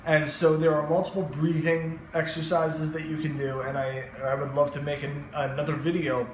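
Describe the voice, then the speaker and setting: A young man gives casual advice straight to camera in a voice that sits a bit high for a man. He is very expressive, his pitch and loudness swinging as he goes, with plenty of fillers and restarts. The room around him is noticeably echoey. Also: normal speed, a little hiss or hum. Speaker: vlogger giving voice advice.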